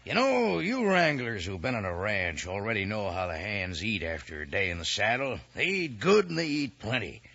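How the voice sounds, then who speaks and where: Deep, wildly animated radio pitchman. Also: vocal fry, husky. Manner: folksy, emphatic sales patter. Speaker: announcer and sponsor pitchman. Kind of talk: 1950s radio cereal advertising aimed at children. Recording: muffled old radio recording.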